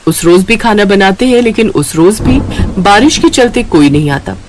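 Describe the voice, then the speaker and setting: A woman's voice: mid-range voice, animated, normal speed, background noise. A woman reading scripted narration of a moral story as a storyteller.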